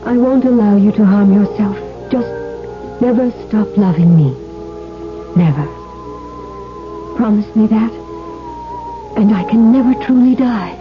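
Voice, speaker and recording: An actress playing an ardent, willful young bride in a 1970s radio drama. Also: female voice, mid-range voice, measured pace, pauses often, over music, lightly acted. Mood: pleading, loving. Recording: dull 1970s radio broadcast.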